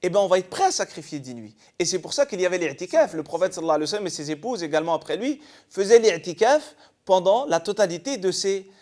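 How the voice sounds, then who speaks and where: A man's voice: high-pitched, very animated, quick pace, a little mellow. A man from eastern France giving an explanatory religious talk as a panel guest, in a didactic way with rhetorical questions.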